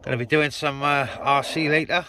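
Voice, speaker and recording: A man's voice: on the high side, steady tone, brisk, crowd noise. A man vlogging casually outdoors beside his car, talking to his viewers as he goes. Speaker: vlogger out with CB radio. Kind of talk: casual vlog chat.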